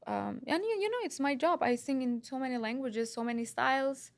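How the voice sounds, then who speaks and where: A woman from Lebanon, a singer-songwriter being interviewed, talking casually in halting phrases that she often repeats, with many fillers. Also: high voice, lively intonation, gravelly.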